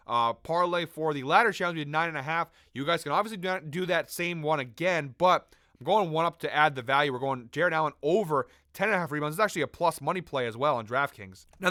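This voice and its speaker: Young male betting host with a fading voice, brisk and number-heavy, slightly high-pitched, close-miked in a dead room. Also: pushed and bright, dry recording. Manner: brisk, number-heavy delivery with fillers and self-corrections. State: sick and losing his voice. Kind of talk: fast spoken sports betting breakdown.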